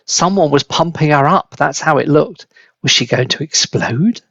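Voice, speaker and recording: Male storybook narrator, gravelly, expressive, deliberate, dry slightly dull recording. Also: fairly high pitch, dry recording. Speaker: storybook reader.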